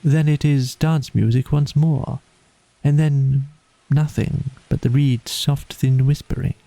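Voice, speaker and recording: Man as a languid water rat, storybook character, poetic, rapt, murmuring, very slow, gravelly. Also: medium pitch, fairly even intonation, dark tone, no room sound, pitched above the performer's own voice. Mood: dreamy.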